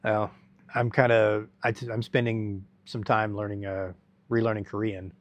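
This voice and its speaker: A middle-aged man speaks in a somewhat low voice, telling his story in a halting, rambling way full of restarts and fillers. The sound is dry and close, with no room echo. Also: flat delivery, dull audio. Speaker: guest. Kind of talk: conversational interview storytelling.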